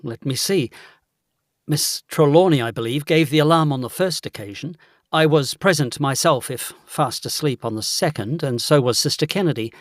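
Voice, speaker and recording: An actor playing a courteous, earnest gentleman investigator in a gothic audio drama, with a genteel old-fashioned manner. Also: a little high, moderate pace, dry recording, studio quality, natural voice. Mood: earnest, puzzled.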